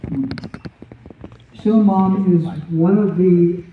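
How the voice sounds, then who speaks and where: A man introducing a guest to an audience in a relaxed, conversational way. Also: high voice, slow. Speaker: event speaker introducing a guest. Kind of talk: casual talk to an audience. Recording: tinny, very echoey, far from mic.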